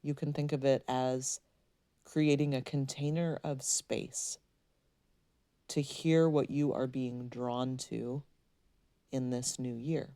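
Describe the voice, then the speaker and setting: A woman leading a guided meditation, speaking in a soothing, gently instructing way with unhurried pauses. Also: very deep voice, steady tone, no room sound.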